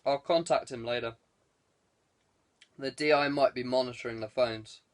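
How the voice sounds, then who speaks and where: A man's voice: medium pitch, fairly even intonation, normal speed, close to an everyday voice. A man voicing a clever, guarded man who speaks with courteous evasiveness in an audiobook. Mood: wary. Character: guarded, clever man fending off police questions. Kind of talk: audiobook character dialogue.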